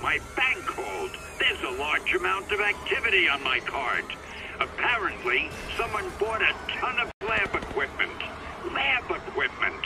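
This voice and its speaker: Exasperated man, animated, scolding. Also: high voice, unhurried, noisy background, exaggerated voice. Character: exasperated male acquaintance leaving a voicemail. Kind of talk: video game phone message acting. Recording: loud music behind the message.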